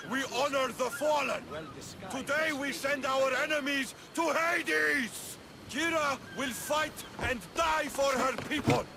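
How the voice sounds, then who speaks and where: An actor playing a fierce Spartan commander in a video game, declaiming a rousing battle speech. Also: male voice, very high voice, vocal fry, very noisy, heavily acted. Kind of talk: video game cutscene acting.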